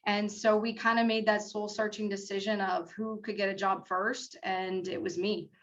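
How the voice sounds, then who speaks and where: A middle-aged woman speaks on a career panel over a video call, earnest and a little halting, leaning on ums as she goes. The audio is dull and lo-fi, with a medium-pitched voice. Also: little pitch movement. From South Florida. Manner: earnest, hesitant with many fillers. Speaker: school district HR manager, panelist.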